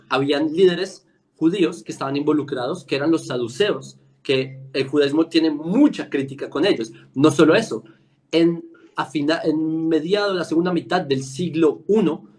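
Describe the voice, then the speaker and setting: Higher-pitched man with a Colombian accent. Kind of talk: informal explanatory debate.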